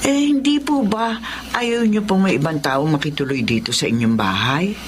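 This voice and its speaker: An actress with a Filipino accent playing a middle-aged, worried housekeeper in a radio drama, respectful and hesitant. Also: female voice, low-pitched, lively intonation, moderate pace, slight background noise. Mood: puzzled, deferential. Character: deferential, worried housekeeper, mother of a grown daughter.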